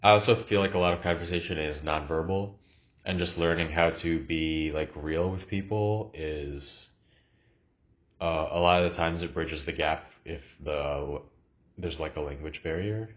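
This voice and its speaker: A man's voice: rumbling low, steady tone, normal speed, dull audio. A man with a cough, recording a casual, reflective vlog in his new apartment, talking hesitantly with many fillers. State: has a cough. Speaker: vlogger.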